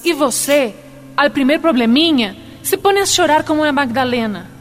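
An actress playing a stern, exhorting Brazilian revolutionary prisoner in a radio drama, speaking Spanish with a Brazilian Portuguese accent. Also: female voice, high voice, lively intonation, normal speed. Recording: long reverb with music behind.

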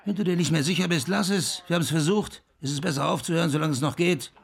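A man's voice: a little high, fast talker, vocal fry, slightly rough. An actor playing a hard-edged, insistent man who speaks bluntly in a naturalistic radio drama. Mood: stern, pressing. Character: hard-edged, pressuring man with a shady past.

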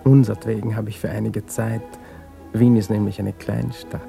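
An actor playing an evasive musician and smooth seducer in a radio play, casual and hesitant with his lover. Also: lowish voice, flat delivery, normal speed, dark tone. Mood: evasive, hushed. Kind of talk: German radio play acting. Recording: radio drama with music underneath.

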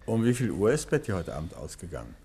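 An actor playing a courteous, unruffled gentleman detective and writer in a 1950s German radio play, measured and probing. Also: low voice, wildly swooping pitch, slight background noise, heavily acted. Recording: 1950s radio drama recording.

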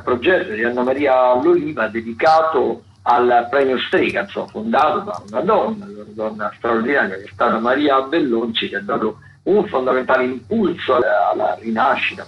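A muffled radio recording with faint noise carries a man presenting arts listings. His voice sits at a medium pitch. He speaks semi-improvised, pausing to find words and often repeating a phrase before going on. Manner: hesitant, searching for words with repetitions. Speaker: radio host presenting a theatre events roundup. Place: radio studio.

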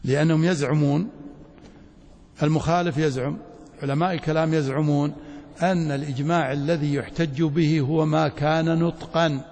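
A man's voice: on the high side, fairly even intonation, moderate pace, darkish tone. A man with a Saudi accent teaching a religious lecture didactically, often checking that his students follow. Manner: didactic, checks understanding with short questions. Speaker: Islamic studies teacher, sheikh. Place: large reverberant hall, mosque. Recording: echoey hall with long reverberation.

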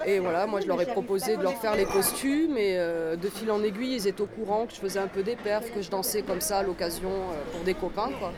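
A woman in her forties from southeastern France, talking casually about her dance career in an interview, with a relaxed, rambling delivery full of fillers and restarts. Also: medium pitch, a little fast, hardly any pauses, a crowd in the background. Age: 40s. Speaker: dancer and choreographer, guest.

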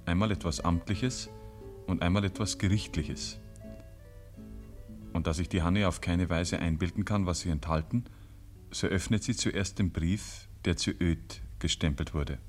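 A man's voice: low voice, moderate pace, faint music behind, lightly acted. A man with a Bavarian accent narrating a rural tale in a literary radio play. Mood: measured, grave. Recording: 1950s radio recording.

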